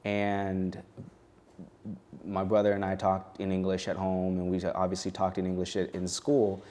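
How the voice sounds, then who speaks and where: A man in his thirties from California, speaking as a poet and educator in a studio interview. He answers in a thoughtful, measured, personal way. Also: deep voice, completely flat delivery, a little mellow. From United States. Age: 30s. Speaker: guest poet and educational consultant. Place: television studio.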